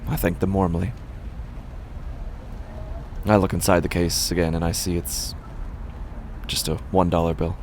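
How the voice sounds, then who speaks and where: Guy acting tired busker narrating a horror podcast, low voice, brooding n resigned, bit of background noise. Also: little pitch movement, unhurried, pauses often, a little mellow. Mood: weary, reflective. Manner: brooding, sighing, understated. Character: weary, resigned male street musician narrating his day. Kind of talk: first-person horror audio drama narration.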